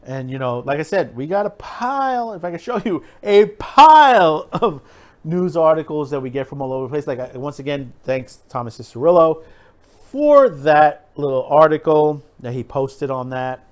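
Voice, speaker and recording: A man with a voice pitched a little higher than average hosts a casual commentary video. He speaks straight to his viewers in a loose, rambling manner. He circles back over his phrases and leans emphatically on key words. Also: lively intonation, moderate pace. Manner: rambling, repeats himself, emphatic. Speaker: host of an opinion video channel.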